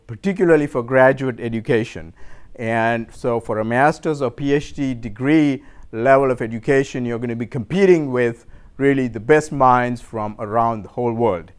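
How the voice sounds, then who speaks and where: A middle-aged man from Sri Lanka, a university professor, giving an informal lecture in a room. He speaks in a measured, hesitant way, with many fillers and repeated words. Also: medium pitch, clear, resonant tone. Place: lecture room in a cultural center.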